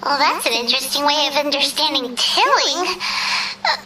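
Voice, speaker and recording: A woman voicing a small, chirpy, curious fairy companion with a squeaky childlike voice in a video game. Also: sing-song, moderate pace, noisy background. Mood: puzzled. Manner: refers to self in third person, exaggerated cute delivery. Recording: game audio under loud music, distant.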